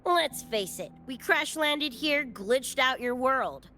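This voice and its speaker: A woman's voice: high-pitched, lively intonation, slow, bright, forceful tone, slight background noise, heavily acted. A woman playing a brash, scrappy young cyberpunk gang member in a game, with cocky, slangy talk and a wistful side. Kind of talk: animated game character dialogue.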